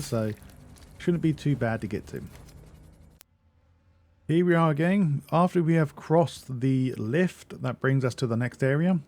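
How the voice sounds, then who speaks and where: Mellow-voiced man guiding through a game. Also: medium pitch. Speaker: video game guide narrator. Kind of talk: casual walkthrough commentary.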